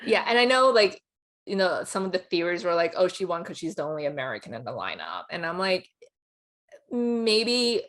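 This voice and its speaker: Casual, lower-pitched woman co-hosting. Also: very animated, normal speed, fairly bright tone. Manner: laughs often while talking. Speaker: awards podcast co-host and pundit. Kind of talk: casual film awards chat.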